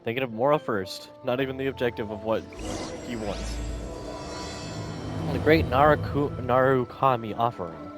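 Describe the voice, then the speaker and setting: A man's voice: medium pitch, normal speed, pauses often. A man giving casual gameplay commentary as a gaming streamer. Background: game music.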